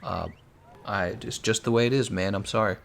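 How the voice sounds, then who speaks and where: A man's voice: on the low side, moderate pace, creaky, a little hiss or hum. A young man with an American accent, chatting casually on a game stream, answering viewers and laughing often. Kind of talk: casual live-stream commentary.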